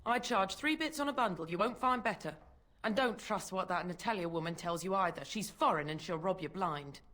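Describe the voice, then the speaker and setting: A woman's voice: on the low side, brisk, fairly bright tone, natural voice. An actress playing a bitter, suspicious working-class mother in a video game, accusing and scornful in folksy, ungrammatical speech.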